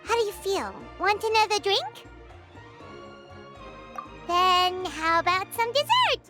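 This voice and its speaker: A woman voicing a tiny, excitable, childlike female fairy companion in an anime-style video game, perky and chatty with a squeaky voice. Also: takes it slowly, full character voice. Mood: cheerful. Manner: perky, chatty, refers to self in third person. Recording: game music behind the voice. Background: game music.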